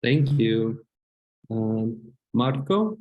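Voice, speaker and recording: A man's voice: mid-range voice, expressive, very slow, muffled recording. Hesitant male seminar host. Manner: hesitant, apologetic, many fillers. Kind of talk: online seminar introductions.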